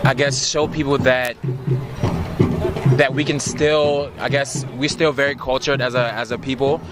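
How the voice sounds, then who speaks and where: A man's voice: medium pitch, steady tone, a little slow. A man from Nigeria, a dancer, answering hesitantly and searching for words in a casual interview. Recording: loud music behind.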